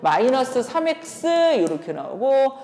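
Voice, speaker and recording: Echoey, animated woman math instructor. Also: sits high, slow. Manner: instructive, poses questions while working through steps. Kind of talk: exam solution lecture, step-by-step explanation. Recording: echoey room with long reverb.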